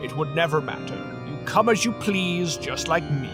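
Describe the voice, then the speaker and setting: An actor playing a haughty, sardonic phantom creature of the opera house in an audio drama, theatrical and disdainful. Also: male voice, a little high, animated, normal speed, loud music behind the voice, lightly acted. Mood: aloof, sardonic.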